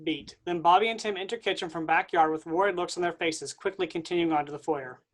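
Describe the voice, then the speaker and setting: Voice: medium pitch, fast. Plain reader of stage directions.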